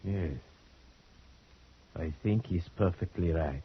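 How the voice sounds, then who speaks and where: A man acting as a calm, thoughtful investigator in an old radio play, speaking in a measured, deliberate way. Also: sits low, slightly rough, full character voice. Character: calm, thoughtful investigator narrating a gothic werewolf tale. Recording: muffled old radio recording with music.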